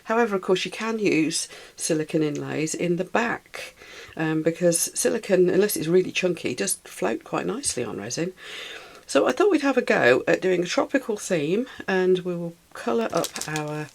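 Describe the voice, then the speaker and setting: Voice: mid-range voice, vocal fry, slight background noise. A British resin craft tutor who sounds a little snuffly and chats while working, thinking aloud and cooing over the materials. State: slightly snuffly, recovering from a cold. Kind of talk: casual hands-on craft tutorial.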